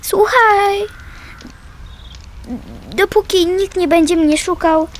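A female performer playing a stubborn, precocious runaway girl in a radio play, with a blunt, childlike and expressive way of talking. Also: very high voice, slow pace, a little hiss or hum. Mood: confiding. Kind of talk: naturalistic Polish radio play acting.

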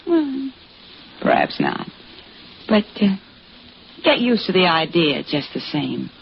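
An actress playing a scheming, hard-boiled mistress in an old-time radio crime drama, insistent, domineering and coolly manipulative. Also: female voice, lowish voice, very animated, slow, vocal fry, full character voice. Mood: coaxing, calculating. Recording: dull, noisy vintage radio recording.

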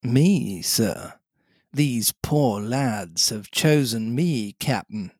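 Bloke doing a slow sly pirate leader, cheery n cajoling, fake polite, storybook voice. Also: male voice, medium pitch, animated, darkish tone, breathy edge, no room sound, studio quality, full character voice. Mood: slyly courteous. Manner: drawn-out, mock-respectful, cajoling.

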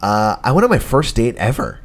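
A man with a raspy voice co-hosting a podcast, chatting in a relaxed, profane way. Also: mid-range voice, brisk. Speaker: podcast co-host. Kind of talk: casual chat. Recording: loud music playing behind.

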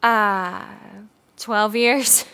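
A woman's voice: mid-range voice, fairly even intonation, very slow, pushed and bright, heavily acted. An actress playing a guarded married mother in an audio drama, curt and defensive as she is questioned. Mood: guarded, casual. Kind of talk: scripted audio drama dialogue.

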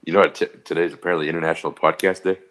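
A man's voice: very deep voice, steady tone, racing, a bit bright. A man co-hosting a podcast in casual chat, making dry, teasing remarks. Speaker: podcast co-host.

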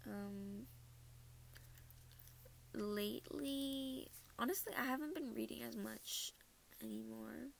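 A woman's voice: a little high, slow pace, vocal fry, long pauses, slight background noise. A teenage girl from Minnesota chatting casually about her month, rambling and laughing often. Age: teens. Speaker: podcast host. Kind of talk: casual personal chat.

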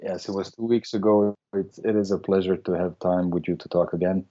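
A middle-aged man from Austria, a doctor and surfer, answering interview questions slowly with many fillers and restarts. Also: deep voice, steady tone, dark tone, lo-fi sound. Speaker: guest, medical doctor and surfer. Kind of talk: casual interview conversation.

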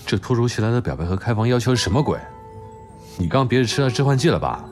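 A man's voice: medium pitch, big pitch swings, fast talker, a little mellow, soft background music, natural voice. A man acting a curt, exasperated but secretly tender doctor in an audio drama, terse and dry.

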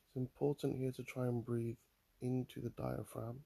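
A man guiding a mindfulness meditation in a calm, soothing manner, leaving long pauses between phrases. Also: medium pitch, creaky, slightly rough. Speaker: mindfulness guide. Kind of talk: guided meditation, body scan.

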